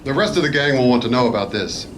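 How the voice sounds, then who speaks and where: A man playing a calm, authoritative adventure hero in a radio play, measured, formal and dryly understated. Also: mid-range voice, close to an everyday voice. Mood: calm, commanding. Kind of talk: old-time radio play acting. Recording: noisy live convention stage.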